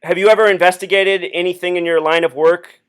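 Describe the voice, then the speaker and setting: A man with a somewhat high-pitched voice talks as a caller laying out his case. He is earnest and insistent, explaining point by point with deliberate phrasing and pointed questions. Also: steady tone, fairly bright tone, clear, resonant tone. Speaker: caller phoning the police with a theory. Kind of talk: earnest explanatory phone monologue.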